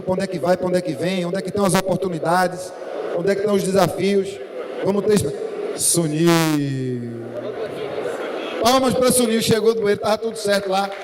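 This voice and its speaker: A man with a Pernambuco accent hosts a debate, joking around and dropping regional slang. His voice is high for a man and rings out in a large reverberant hall over a clear murmur of the audience. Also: noisy background. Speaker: debate moderator. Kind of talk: casual event moderation.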